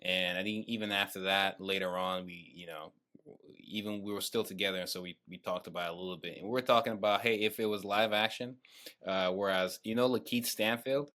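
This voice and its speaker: A man hosting a casual podcast, animated and repeating his words as he talks. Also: sits low, a little fast. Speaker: podcast host.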